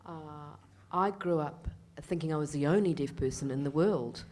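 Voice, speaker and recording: A woman's voice: low voice, moderate pace, darkish tone. A woman voicing a Deaf man on a panel, in the first person, hesitant with many fillers. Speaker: sign language interpreter voicing a Deaf male panellist.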